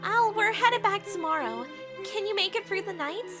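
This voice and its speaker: A woman's voice: extremely high pitch, lively intonation, normal speed, fairly bright tone, faint music behind. A woman voicing a sweet, concerned young female demon, earnest and apologetic, in a cartoon comic dub.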